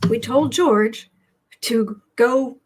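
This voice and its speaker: An American woman hosting a casual tarot livestream, chatting haltingly as she comments and reads out viewer messages, often repeating her words. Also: a little high, a little mellow, husky. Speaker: host of a live tarot and astrology show.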